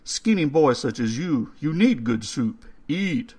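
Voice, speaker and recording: A man's voice: on the high side, lively intonation, warm tone, slightly rough, voice pushed up, full character voice. A man playing a courteous old undertaker. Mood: soothing. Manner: measured, formal, slightly stilted phrasing. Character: old male undertaker, calm, courteous, oddly formal.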